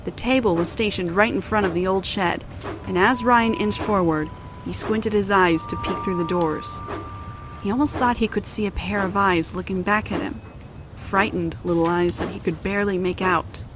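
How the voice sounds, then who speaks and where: A woman's voice: medium pitch, moderate pace, noisy background. A woman narrator reading a scripted children's story in storybook style.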